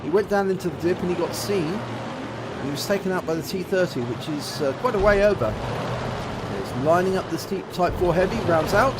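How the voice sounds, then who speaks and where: A man commentating on a tank game replay, a bit rough and nasal from a cold, explaining each shot and the damage it does. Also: high voice, animated, moderate pace, heavy background noise. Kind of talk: gameplay commentary over a replay. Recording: loud game battle sounds behind voice. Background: tank game gunfire and engines.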